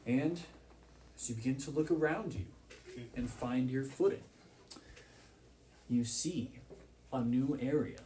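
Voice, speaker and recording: A man's voice: medium pitch, takes it slowly, pauses often, warm tone, poor audio. Man narrating as game master, some background noise. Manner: descriptive scene-setting in second person.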